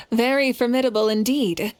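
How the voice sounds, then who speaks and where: A woman playing a composed, confident female warrior leader in a video game, speaking in a measured, gracious way. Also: a little high. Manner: measured, poised. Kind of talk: video game character acting.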